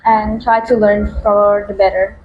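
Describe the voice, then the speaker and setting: A woman's voice: medium pitch, little pitch movement, unhurried, dull audio. A woman co-hosting an education podcast, earnest and inquisitive in her questions. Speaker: podcast co-host. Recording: echoey room.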